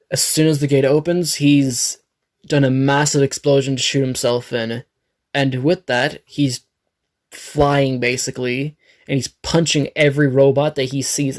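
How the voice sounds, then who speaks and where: Raspy man rambling through a fan story. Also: mid-range voice, a little mellow. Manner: rambling, self-correcting, swears. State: dry throat. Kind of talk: improvised fan story narration.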